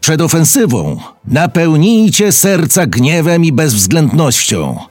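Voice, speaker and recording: Fierce revolutionary commander played by a man, slow and declamatory, husky, solemn radio-drama proclamation. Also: on the high side, very animated, a little mellow, dry recording, full character voice. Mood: wrathful, solemn. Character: fierce Bolshevik army commander reading a battle order. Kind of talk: dramatic reading of a historical military proclamation.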